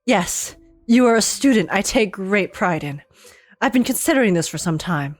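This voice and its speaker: A woman's voice: mid-range voice, lively intonation, moderate pace, no echo, natural voice. A woman voicing a stern, commanding male professor with a curt, imperious manner in a dramatic story read-aloud. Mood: softening, proud.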